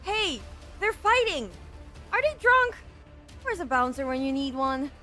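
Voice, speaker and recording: A woman playing an excitable, panicky bystander, all exclamations, in a fan-dubbed game drama. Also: high-pitched, unhurried, faint music behind, exaggerated voice. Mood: alarmed.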